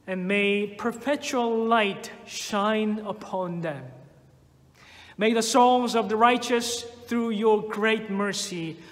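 A minister reading prayers in a slow, measured liturgical manner in a church sanctuary. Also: male voice, sits high, fairly bright tone, big-room sound. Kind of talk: leading prayers in a church service.

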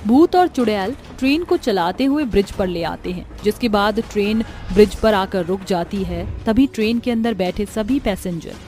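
A woman's voice: mid-range voice, expressive, normal speed, few pauses, warm tone, soft background music. A woman narrating a scripted story as a narrator.

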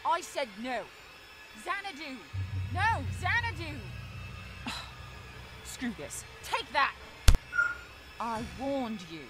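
A woman playing a fierce, commanding female fighter in an audio drama, speaking forcefully. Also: high voice, big pitch swings, slow pace, pauses often, over music, heavily acted, poor audio. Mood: frantic, defiant.

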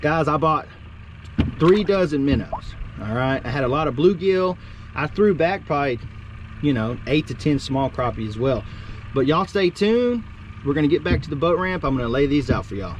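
This is a man, filming himself on a small boat outdoors. He chats casually to his viewers and gets loud and excited when a fish strikes. The camera sits on the boat, so there is faint outdoor noise behind him. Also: fairly high pitch, moderate pace, dull audio. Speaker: fishing vlogger. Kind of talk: casual fishing vlog talk.

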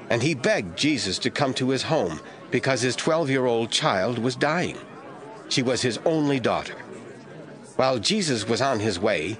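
A man narrating a Bible audio drama as scripted, expressive storytelling. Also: mid-range voice.

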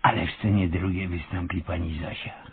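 An actor playing a capricious, self-important avant-garde theatre creator in a comic radio play, with a grandiose manner. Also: male voice, low-pitched, flat delivery, normal speed, vocal fry, slightly rough, exaggerated voice. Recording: thin, phone-like radio play recording.

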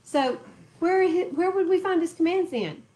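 A woman's voice: very high-pitched, moderate pace, warm tone. A woman leading a home Bible study, stressing words and joking with the group, recorded by a camera in a living room. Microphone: far camera in the room.

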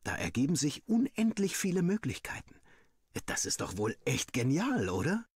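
A man reading a first-person story like an audiobook, expressive and playful with boyish indignation. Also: fairly high pitch, normal speed, breathy edge, no room sound. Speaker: story narrator. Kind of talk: animated first-person scripted narration, like an audiobook.

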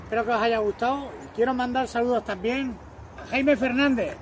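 A man's voice: very high-pitched, brisk. A middle-aged man, a truck driver, chatting casually to a phone camera held at arm's length outdoors. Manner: chatty, greets viewers as artists. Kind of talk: casual vlog chat to camera. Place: outdoors by trucks and roadside, windy. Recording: noisy outdoor phone audio. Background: wind and traffic noise.

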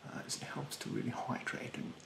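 A young man playing a rude, snobbish, fastidious facial therapist in a soft, half-whispered ASMR roleplay, haughtily polite and hesitant. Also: roomy sound, background noise, lightly acted. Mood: fussy, instructive. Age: young adult. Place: bedroom, indoors. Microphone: close mic in front.

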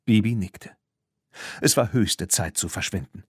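Male audiobook narrator for children's stories, deep-set husky voice. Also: measured pace, dry recording, clean studio sound.

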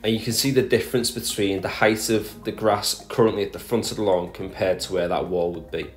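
A man with a low voice talks methodically through a do-it-yourself project in a recorded voice-over. Faint music plays quietly underneath him. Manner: plain, methodical explaining with small restarts. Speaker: garden DIY vlogger. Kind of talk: voice-over narration of a DIY project.

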